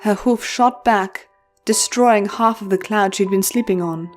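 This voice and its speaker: A woman's voice: mid-range voice, measured pace, soft background music. A woman narrating a story in a dramatic, scripted reading style.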